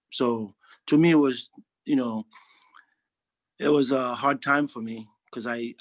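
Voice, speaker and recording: Man, 40s, earnest, halting, dry close sound. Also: mid-range voice, no room sound. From Haiti. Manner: earnest, halting, frequent restarts. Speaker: interviewee, social worker. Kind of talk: reflective testimonial interview.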